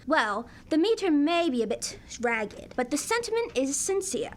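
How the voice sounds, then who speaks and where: A woman's voice: sits high, very animated, normal speed. A woman acting an affectionate, playful and witty wife in a radio comedy. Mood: affectionate, teasing. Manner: playful, doting banter.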